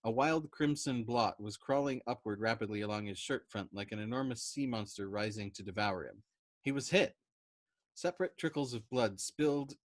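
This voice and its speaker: A man reading a novel aloud in an expressive storytelling style. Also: lowish voice, no room sound. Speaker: storytime reader. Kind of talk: expressive audiobook narration of a novel.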